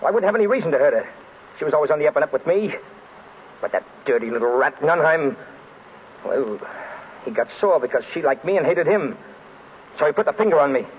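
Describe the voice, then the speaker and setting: An actor playing a tough, jittery gangster with clipped, slangy hoodlum talk, in 1930s radio drama style. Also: male voice, fairly high pitch, lively intonation, fairly quick, long pauses, natural voice. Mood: menacing, urgent. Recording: noisy muffled vintage radio recording.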